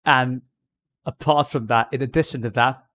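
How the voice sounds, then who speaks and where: A man's voice: mid-range voice, steady tone, normal speed, a bit bright, dry recording. A man chatting casually to viewers on a livestream in a put-on British Received Pronunciation accent, talking to them directly and often repeating himself. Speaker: live streamer.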